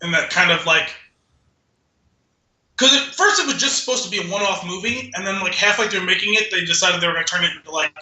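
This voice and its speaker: A man with a high-pitched, intensely bright and edgy voice talks casually as a podcast co-host, offering opinions. The sound is roomy, with clear echo around his words. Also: quick pace. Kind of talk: casual chat, giving opinions.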